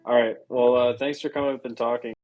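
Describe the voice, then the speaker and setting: A man with a mid-pitched voice hosts a loose online voice chat. He jokes easily and hesitates with frequent fillers. He draws guests out in a relaxed, friendly way. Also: quick pace, no echo. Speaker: podcast host. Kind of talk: hosting a casual voice space.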